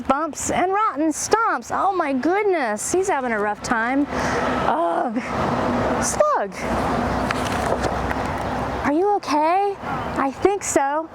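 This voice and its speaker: A woman's voice: sits high, noisy background. A woman hosting storytime for young children, reading aloud expressively and adding chatty, enthusiastic asides. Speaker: children's librarian, storytime host.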